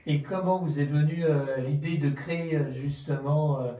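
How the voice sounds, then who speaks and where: A man hosting a live radio interview, asking questions in a hesitant, halting way. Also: fairly high pitch, fairly even intonation, warm tone. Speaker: radio host interviewing athletes. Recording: roomy, slightly distant mic.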